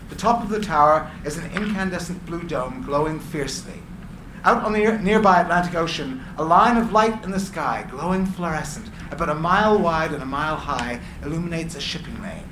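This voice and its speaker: A man reading screenplay stage directions as narrator, with deliberate descriptive phrasing. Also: on the high side. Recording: echoey room, clear background noise.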